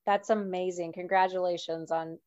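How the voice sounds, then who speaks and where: A woman's voice: fairly low pitch, little pitch movement, moderate pace, darkish tone. A woman hosting a parenting podcast, asking questions in a friendly way with small restarts. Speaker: podcast host. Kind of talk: interview host. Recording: dry, dull lo-fi sound.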